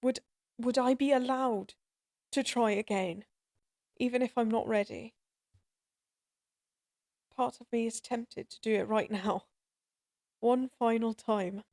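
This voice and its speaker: Casting: forsaken, guilt-ridden goddess played by a woman, higher voice, unhurried halting delivery, emotional audio-drama acting. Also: steady tone, a little mellow. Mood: weary longing. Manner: halting, emotional, earnest pleading.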